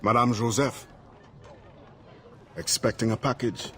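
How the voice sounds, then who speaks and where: An actor playing a proud, stoic assassin, terse, measured and wary, in a video game cutscene. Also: male voice, medium pitch, steady tone, pushed and bright, slight background noise. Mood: wary, firm.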